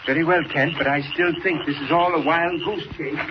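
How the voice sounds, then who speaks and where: An actor playing a fussy, self-important older gentleman, blustery and excitable, in 1940s radio drama style. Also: fairly high pitch, brisk, lightly acted. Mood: puzzled, fussy. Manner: blustery, old-fashioned exclamations. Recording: muffled, noisy old radio recording.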